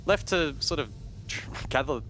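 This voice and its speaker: A man giving measured, analytical esports commentary, explaining play as a broadcast caster. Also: on the high side, animated, a bit bright, faint music behind, rough recording. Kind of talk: analytical live game commentary.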